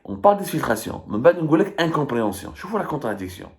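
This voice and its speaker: A man's voice: on the high side, big pitch swings, a little fast. A man with an Algerian accent giving live political commentary in an emphatic, argumentative and repetitive way. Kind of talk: live political commentary, reading and dissecting statements.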